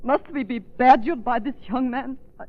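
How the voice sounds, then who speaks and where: A woman's voice: high voice, normal speed, lightly acted. An actress playing a haughty, outraged lady passenger with theatrical indignation in 1930s radio melodrama. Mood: outraged, distressed. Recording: very tinny 1930s radio recording.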